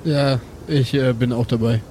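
A man's voice: medium pitch, steady tone, moderate pace, warm tone, a little hiss or hum. A man improvising casual banter as a player in a tabletop role-playing game, with a sceptical, dry manner.

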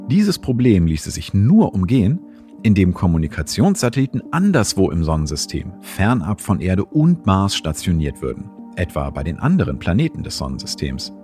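A man's voice: deep voice, darkish tone. A man hosting and reading scripted science narration in a documentary style.

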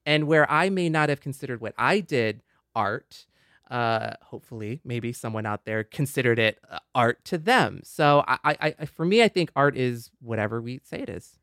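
A man's voice: mid-range voice, lively intonation, moderate pace, a bit bright, no echo. Reflective man co-hosting a podcast, close dry sound. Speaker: podcast co-host. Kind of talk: casual podcast discussion.

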